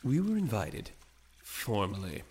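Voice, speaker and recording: Dry sardonic company director, lowish voice, radio play. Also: male voice, huge pitch swings, unhurried, a little mellow, slightly rough, exaggerated voice. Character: sardonic, curt male board director. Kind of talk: audio drama acting.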